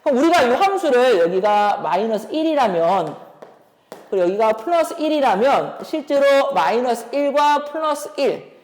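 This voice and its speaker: A woman's voice: fairly high pitch, big pitch swings, moderate pace, darkish tone. A woman teaching as a math instructor, explaining exam problems step by step and posing rhetorical questions as she thinks aloud. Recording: reverberant room.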